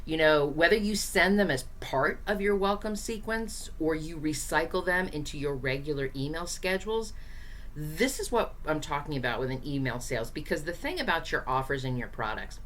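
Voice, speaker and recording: A woman with a low, hoarse voice walks small business owners through marketing ideas. She talks straight to the listener in an easygoing, encouraging way and often fills pauses with casual asides. A faint hiss sits behind her. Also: animated. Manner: chatty, direct, encouraging, with frequent fillers. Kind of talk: casual teaching to camera.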